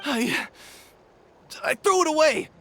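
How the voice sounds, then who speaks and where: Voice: sits high, animated, slow pace, creaky, noisy background, full character voice. A performer playing a rude, cowardly young thief in a video game, with a sneering, dramatic delivery. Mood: panicked pleading.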